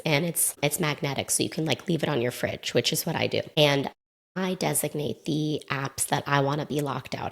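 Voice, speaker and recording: A woman in her thirties hosting a casual solo podcast, talking candidly and conversationally, her voice slightly raspy and mildly hoarse from a sore throat. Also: deep voice. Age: 30s. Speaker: podcast host.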